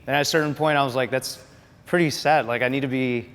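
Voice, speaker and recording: A man's voice: mid-range voice, steady tone, fast, fairly bright tone, clean tone. Frank man with room reverb. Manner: frank, reflective, casual swearing. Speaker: pro gamer. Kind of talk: casual group discussion.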